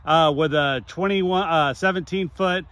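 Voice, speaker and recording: A man in his forties speaks with an American accent in a somewhat high register. His delivery is casual and chatty, that of a new amateur radio operator. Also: a little slow, fairly bright tone, dry recording. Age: 40s. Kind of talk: casual chat.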